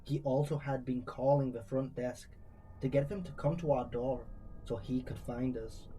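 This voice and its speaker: A man's voice: mid-range voice, steady tone, normal speed, warm tone, slight background noise, rough recording. A man narrating creepy first-person true stories from a script, as a true crime storyteller.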